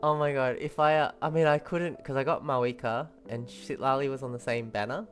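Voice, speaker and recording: A male streamer gives casual live reactions to a game. He talks in a gushing, emotionally invested way at a medium pitch, with game music clearly audible behind him. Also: fairly even intonation, a little fast.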